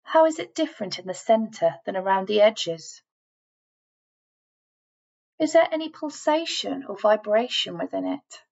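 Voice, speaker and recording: A woman reading a scripted guided relaxation in a measured, instructing manner, leaving deliberate pauses between phrases. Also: mid-range voice, wildly swooping pitch, creaky, darkish tone, lo-fi sound. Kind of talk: scripted guided meditation voiceover.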